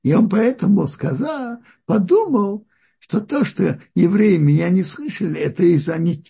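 A man giving a religious lesson on scripture, explaining slowly and often stopping to restart his sentences as he searches for words. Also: high-pitched, expressive, gravelly, warm tone, breathy edge. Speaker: Torah teacher.

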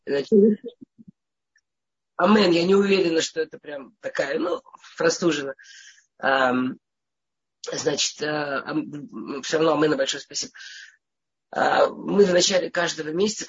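Woman w a cold teaching a lesson, stammers n restarts a lot, raspy gravelly voice, muffled audio. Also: sits low. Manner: hesitant, with frequent stammers and restarts. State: has a cold. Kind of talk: informal religious lesson.

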